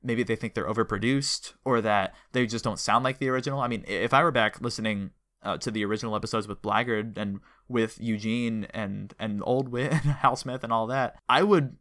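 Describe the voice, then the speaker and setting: A man's voice: mid-range voice, brisk, few pauses, fairly bright tone. A man hosting a casual interview, talking in a chatty, detailed and analytical way as one fan to another. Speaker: podcast host interviewing a guest.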